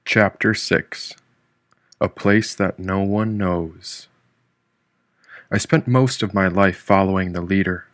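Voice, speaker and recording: A man's voice: lowish voice, fairly bright tone, no room sound, studio quality. A man reading a first-person novel aloud as a scripted audiobook narrator. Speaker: audiobook narrator, author reading own book.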